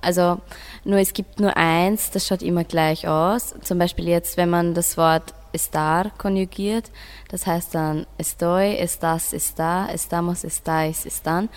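A woman's voice: fairly low pitch, fairly even intonation, measured pace, clean tone. A teenage girl, a school student, informally explaining things to a friend in a hesitant, searching way. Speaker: school student in a radio project.

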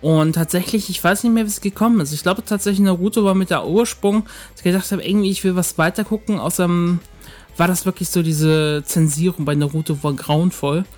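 A man with a notably high-pitched voice tells long, meandering stories as a podcast guest, full of fillers and restarts. Faint music plays behind the conversation. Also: brisk, darkish tone. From northern Germany. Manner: rambling storyteller, many fillers. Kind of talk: casual podcast chat.

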